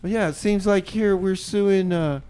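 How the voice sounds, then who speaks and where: Smooth, higher-voiced male radio host. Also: moderate pace, warm tone. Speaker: community radio show host. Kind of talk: casual improvised radio hosting.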